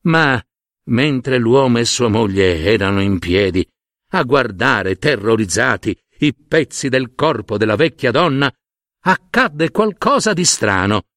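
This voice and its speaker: Man, fairy-tale narrator, unhurried, highly animated intonation, dramatic pauses. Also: no room sound, studio quality. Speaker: storyteller.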